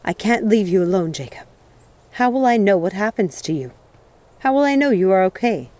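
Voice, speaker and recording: A woman's voice: medium pitch, expressive, normal speed, darkish tone, natural voice. Woman playing a pleading young witch, storybook heroine. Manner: pleading, emotional.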